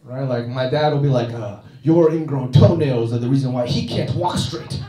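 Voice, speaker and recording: A man's voice: medium pitch, moderate pace, dark tone. Guy doing stand-up in a club, dry self-mocking style, lots of uh's, sounds far from the mic in a roomy space. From China. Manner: dry, self-deprecating delivery with frequent "uh" restarts. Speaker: stand-up comedian. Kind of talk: stand-up comedy set. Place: comedy club stage.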